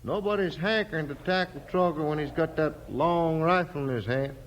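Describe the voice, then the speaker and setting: An actor playing a firm, fair-minded sheriff with folksy American western speech in a 1950s radio drama. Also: male voice, fairly high pitch, normal speed, close to an everyday voice. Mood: calm authority. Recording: faintly noisy vintage radio recording.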